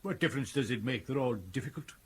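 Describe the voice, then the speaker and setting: A man's voice: mid-range voice, animated, fast talker, fairly bright tone, husky. A man playing a vain, irascible Victorian stage actor in a radio drama, with a British accent and a pompous, declamatory delivery. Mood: haughty, peeved. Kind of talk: theatrical radio drama acting.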